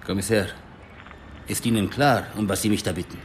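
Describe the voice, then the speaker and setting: A man's voice: on the low side, steady tone, brisk, a little hiss or hum, lightly acted. Man acting a polite forensic lab technician. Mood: uneasy, firm. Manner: polite, deferential but principled. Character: eager, conscientious male forensic lab technician. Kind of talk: German radio crime drama acting.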